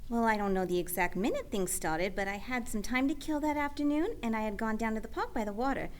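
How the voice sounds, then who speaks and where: A woman's voice: fairly high pitch, fast talker, lightly acted. A woman playing a casual, chatty, slightly evasive superheroine in a comedic radio play. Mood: breezy.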